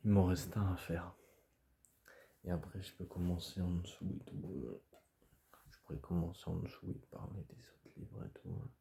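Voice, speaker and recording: Hoarse, stuffy-nosed man, very low. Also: normal speed, warm tone. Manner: hesitant, rambling, many fillers and restarts. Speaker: video creator. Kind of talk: casual chat to camera.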